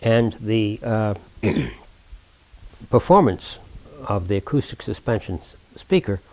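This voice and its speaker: An older man speaks in a somewhat low-pitched voice, recounting technical work as if for an oral history. His phrasing is formal and exact. He moves deliberately, often halting mid-sentence before finding the next precise term. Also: lively intonation, a little mellow, rough recording.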